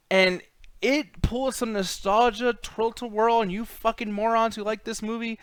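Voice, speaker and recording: Male co-host, smooth higher voice, blunt opinionated chat with heavy swearing, close dry recording. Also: fairly bright tone, no room sound. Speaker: co-host of a video game movie chat show.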